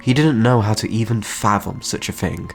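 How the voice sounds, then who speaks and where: A man narrates a dramatic scripted story. His voice is on the low side, and faint music plays beneath it. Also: normal speed, creaky.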